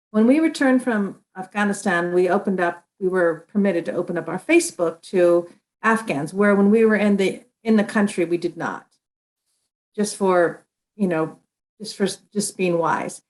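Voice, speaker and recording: A woman's voice: medium pitch, low-quality recording. Earnest woman guest. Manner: earnest, with frequent restarts and filler. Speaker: interview guest, author. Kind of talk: conversational interview.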